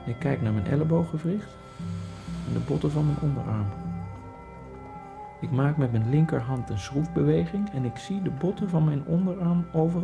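An actor playing a nervous, wryly ironic Egyptian immigrant, narrating his thoughts in a hushed first-person monologue. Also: a little high, vocal fry. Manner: hushed, brooding inner monologue.

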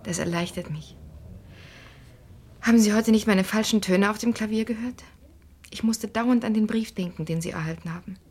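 An actress playing an anxious, earnest married daughter of a criminal, confiding and breathy, in a German radio crime drama. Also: female voice, medium pitch, moderate pace, pauses often, darkish tone, lightly acted.

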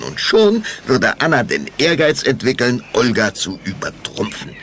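A man's voice: low-pitched, gravelly, noisy background. A man narrating a German children's radio play in a dramatic, scripted storytelling style.

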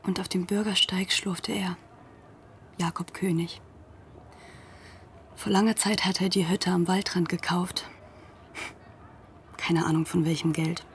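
A woman playing a school pupil who narrates a literary radio drama in a hushed, deliberate way. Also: on the low side, flat delivery, slight background noise. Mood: hushed, observant. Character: former school pupil recounting events as narrator.

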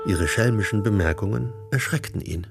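An actor narrating a radio drama as a dry storyteller with a gravelly voice. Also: male voice, lowish voice, normal speed. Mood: measured, wry. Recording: clear music behind the voice.

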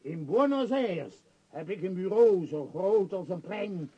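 A grandiose, power-hungry business magnate in a radio drama. His voice sits fairly high and sweeps widely up and down, with a husky grain. He speaks in emphatic, declamatory phrases. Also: expressive, unhurried, warm tone, full character voice, poor audio. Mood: grandiose, pleading. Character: power-hungry, grandiose tycoon obsessed with his world empire. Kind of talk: Dutch radio play acting.

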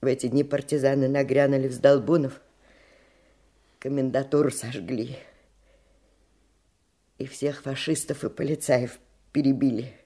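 An actress playing an embittered older woman, a war survivor, in a radio drama, speaking in an anguished, halting way. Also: a bass voice, little pitch movement, dark tone. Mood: bitter, grave. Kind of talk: Russian radio drama acting.

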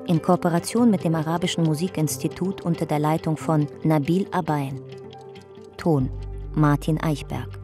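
A woman narrating a radio drama in a calm, measured reading. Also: low voice.

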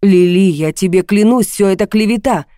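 A woman's voice: medium pitch, moderate pace, dark tone, no room sound, clean studio sound, close to an everyday voice. A woman voicing a volatile, self-pitying husband in an audiobook drama, agitated, accusing and pleading.

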